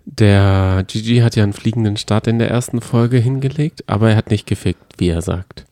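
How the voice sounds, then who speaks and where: A man's voice: on the low side, moderate pace, dark tone, dry recording, studio quality. A young man co-hosting a podcast, chatting casually in a joking, self-ironic way. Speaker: co-host of a reality TV podcast. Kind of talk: casual chat.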